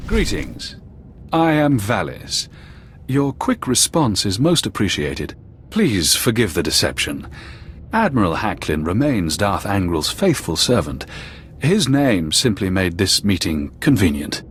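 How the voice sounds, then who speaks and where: An actor playing a smugly courteous Sith zealot, taunting and measured, in a video game. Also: male voice, sits low, big pitch swings, slight background noise. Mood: smug courtesy. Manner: polite, taunting, measured, theatrical. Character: sinister, smugly courteous male Sith zealot serving his Emperor. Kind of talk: scripted video game villain acting.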